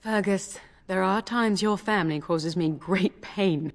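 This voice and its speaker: A woman's voice: mid-range voice, a little slow, natural voice. A woman playing a dry-witted, scolding young noble wife and mother in a video game. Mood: chiding.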